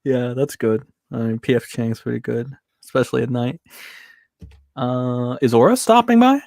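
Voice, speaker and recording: A man's voice: mid-range voice, moderate pace, darkish tone. A man co-hosting a casual stream, chiming in with short, easygoing remarks. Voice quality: gravelly. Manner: easygoing, short agreeable interjections. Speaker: co-host. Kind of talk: casual live-stream chat.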